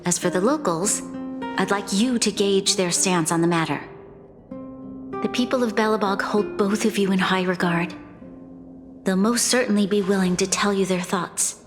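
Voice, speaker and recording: A woman's voice: mid-range voice, normal speed, natural voice. A woman voicing a composed, dutiful young ruler in a video game, speaking formally and with poise. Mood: grave, resolute. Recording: long hall reverb under music.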